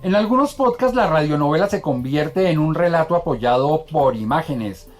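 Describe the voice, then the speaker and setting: A woman's voice: low voice, animated, moderate pace, a little mellow, soft background music. A Colombian woman hosting a TV programme, asking questions in a formal, courteous, polished broadcast style. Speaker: TV host, interviewer.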